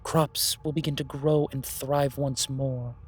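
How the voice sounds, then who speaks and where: A man playing a calm, wise, otherworldly keeper of magic in a fantasy audio roleplay, speaking formally and ceremoniously. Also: medium pitch, unhurried, husky. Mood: reassuring.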